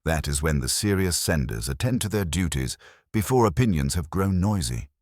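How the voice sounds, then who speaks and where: A man as officious village postmistress, prim, precise, formal, lively swooping intonation, storybook mystery character. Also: sits low, normal speed, no echo, studio quality. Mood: officious, certain.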